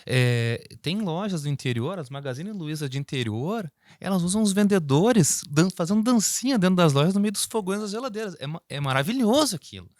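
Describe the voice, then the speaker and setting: A man co-hosting a business podcast, talking through examples in a lively, animated way. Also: high voice, brisk, few pauses. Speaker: podcast co-host. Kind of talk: casual business chat.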